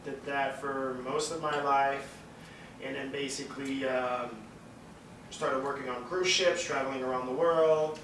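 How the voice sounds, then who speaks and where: A man's voice: mid-range voice, little pitch movement, a little slow, a bit bright, slight background noise. Man, echoey distant mic. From Montreal, Quebec, Canada. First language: French. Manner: rambling storyteller, frequent fillers and swearing. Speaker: guest, distillery founder. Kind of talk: casual podcast interview.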